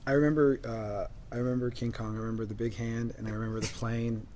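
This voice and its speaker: A man's voice: medium pitch, level tone, quick pace, a crowd in the background. A man interviewing two theater directors, asking questions with many fillers and restarts. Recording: faint background noise.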